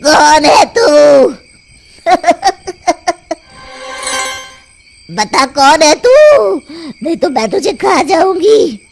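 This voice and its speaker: A woman voicing a greedy, menacing witch in a dramatic children's story, with cackles and drawn-out threats. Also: sits high, very animated, creaky, darkish tone, a little hiss or hum, full character voice. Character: menacing, greedy female witch who steals hair, turning desperate. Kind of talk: children's moral story voice acting.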